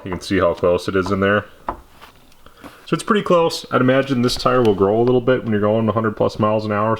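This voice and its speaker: A man explaining hands-on car repair in a casual, conversational way, as a vlogger in his garage. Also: lowish voice. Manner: informal, conversational. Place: indoor garage workshop. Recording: noisy garage with background hum.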